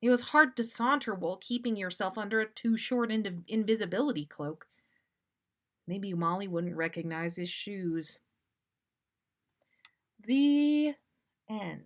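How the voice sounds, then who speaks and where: A woman's voice: mid-range voice, a little slow, pauses often, a bit bright. Woman reading a story aloud expressively. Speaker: podcast host reading listener stories. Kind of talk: expressive fan fiction read-aloud with casual commentary.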